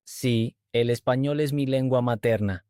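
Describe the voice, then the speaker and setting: Male host-teacher, mellow voice, even measured read, dry close sound. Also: mid-range voice, no echo. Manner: clear, even, measured delivery. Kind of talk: slow scripted dialogue reading.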